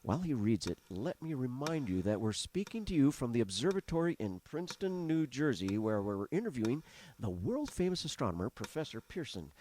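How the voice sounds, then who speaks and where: An actor playing an earnest radio news reporter in a 1930s-style radio drama, speaking in a formal, dramatic announcer manner. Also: male voice, medium pitch, very animated, fairly quick, dark tone, close to an everyday voice. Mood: formal, measured. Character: earnest male radio news reporter interviewing on live air. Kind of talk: 1930s radio acting, live news commentary. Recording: noisy old radio recording with clicks.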